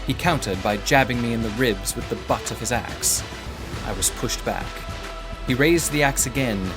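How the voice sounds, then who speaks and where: A man reads a first-person adventure story as its narrator. His delivery is measured and formal with a literary feel, in a mid-pitched voice over music.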